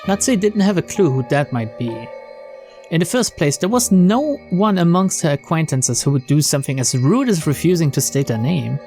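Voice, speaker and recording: A man reading a visual novel aloud as narrator, in an expressive, dramatic storytelling style. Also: a little high, moderate pace. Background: faint game music.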